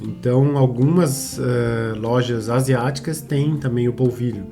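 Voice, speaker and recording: A man co-hosting a casual podcast, talking haltingly with frequent restarts and tag questions. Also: medium pitch, fairly even intonation, music in the background. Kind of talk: casual conversational podcast chat.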